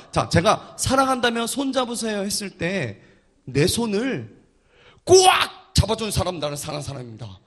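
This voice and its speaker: Playful, high-voiced husky male pastor. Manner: playful, joking with the congregation; energetic, coaxing crowd responses. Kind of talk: leading a church service.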